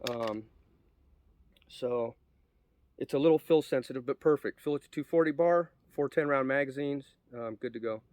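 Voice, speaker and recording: A man's voice: medium pitch, moderate pace, a little mellow. A man with a cold, sniffly, giving a rambling casual review of an air rifle outdoors, with many ums and restarts. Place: outdoors at a shooting spot.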